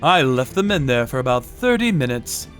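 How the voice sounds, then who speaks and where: A man narrating a manga dub as a first-person story, with a measured, menacing, theatrical delivery. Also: medium pitch, sing-song, fairly bright tone, faint music behind.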